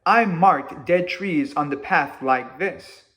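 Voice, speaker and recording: An earnest peg-legged guide from a children's storybook, acted out by a man reading aloud. The voice is raised in pitch and very slow. Every instruction comes out emphatic and drawn out, as if teaching a vital route. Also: fairly bright tone. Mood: earnest, instructive.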